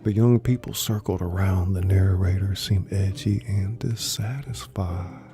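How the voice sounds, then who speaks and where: Guy reading a dramatic story, deep-ish, real soft dark tone, takes his time, faint music. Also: steady tone, measured pace. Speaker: narrator of a live story reading. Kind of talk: scripted dramatic narration.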